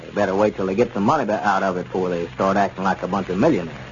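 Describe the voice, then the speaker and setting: A man's voice: deep voice, steady tone, racing. An actor playing a sensible, skeptical small-town man, plain-spoken and wry, in a 1930s radio serial. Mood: skeptical, amused. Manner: plain-spoken, dryly doubtful. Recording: dull, noisy 1930s radio recording.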